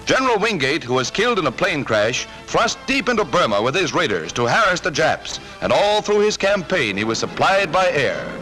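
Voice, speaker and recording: A man's voice: a little high, very animated, moderate pace, few pauses, poor audio. A man narrating a 1940s wartime newsreel in a punchy, emphatic delivery. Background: loud orchestral music.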